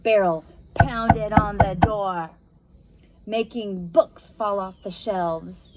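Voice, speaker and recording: A woman reading a spooky children's novel aloud, narrating dramatically with expressive, suspenseful, deliberate phrasing. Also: medium pitch, very noisy. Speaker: storybook reader.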